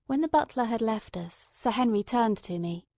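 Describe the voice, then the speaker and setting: A woman reading literary narration for an audiobook in a measured, expressive storytelling manner. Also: a little high, no room sound, clean studio sound.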